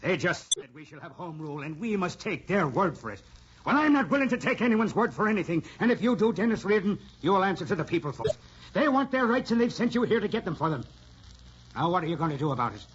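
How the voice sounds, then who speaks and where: An actor playing a stubborn, combative Irish rebel in old-time radio drama, insistent and accusing. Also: male voice, high-pitched, sing-song, fast. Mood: hard, threatening. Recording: dull, noisy old radio recording.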